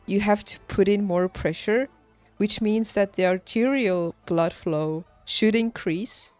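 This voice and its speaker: A woman game streamer chatting casually, thinking aloud haltingly and laughing easily, as if working out each thought while she talks. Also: medium pitch, a little mellow, no echo. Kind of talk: casual livestream chat over gameplay. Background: game music.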